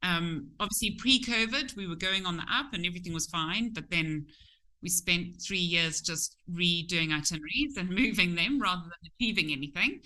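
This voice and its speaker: A woman's voice: fairly low pitch, normal speed, pushed and bright, low-quality recording. A middle-aged woman from Zimbabwe with a Zimbabwean accent, chatting openly as an interview guest. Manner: open and chatty, some restarts. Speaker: tour company owner, guest.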